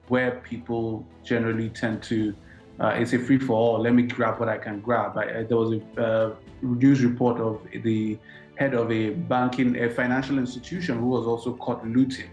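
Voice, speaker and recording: A man speaking as a financial analyst, explaining economic effects in a measured way. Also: mid-range voice, fairly even intonation, darkish tone, reverberant, faint music behind. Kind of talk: studio interview answers.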